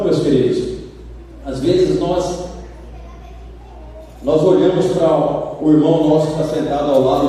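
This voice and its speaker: A man with a Brazilian accent preaching a sermon, telling stories with lively acted-out quotes. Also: a little high, far from the mic. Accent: Brazilian Portuguese. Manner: animated storytelling, acts out quoted voices. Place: church sanctuary. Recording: roomy church hall from afar.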